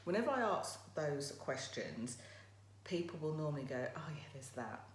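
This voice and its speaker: A woman with a croaky voice hosting a casual live motivational talk, chatting directly to her viewers. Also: deep voice, big pitch swings, normal speed. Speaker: motivational livestream host. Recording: roomy echo, faint background noise.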